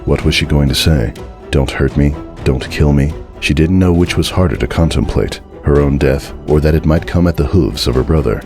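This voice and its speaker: A man's voice: a bass voice, moderate pace, darkish tone, soft background music. A man narrating a horror story as a dramatic reading.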